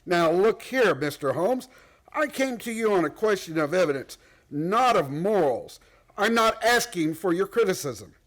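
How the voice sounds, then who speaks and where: Man playing high-voiced, proud, domineering tycoon. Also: animated, measured pace. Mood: indignant, threatening. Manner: forceful, blunt, formal. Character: proud, domineering, wealthy male gold magnate, defensive. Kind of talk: radio play acting.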